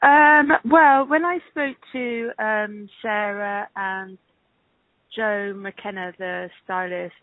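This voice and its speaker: Creaky-voiced woman on a phone line. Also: mid-range voice, steady tone, slow pace, no room sound. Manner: hesitant, thinking aloud. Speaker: interview guest, casting director. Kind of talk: conversational phone interview. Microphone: telephone call. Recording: tinny, band-limited phone line.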